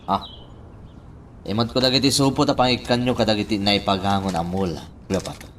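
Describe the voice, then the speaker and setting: A man's voice: lowish voice, fairly even intonation, fairly quick, a bit bright, slight background noise, lightly acted. A man playing a friendly, curious younger man, a respectful nephew, in a radio drama. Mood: businesslike.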